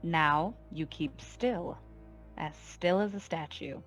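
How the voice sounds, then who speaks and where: A woman's voice: lowish voice, fairly bright tone, faint music behind. An actress playing a cold, commanding female gangster boss in a noir radio play, taunting and full of threat. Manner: taunting, deliberate, imperious. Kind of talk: 1940s radio noir acting.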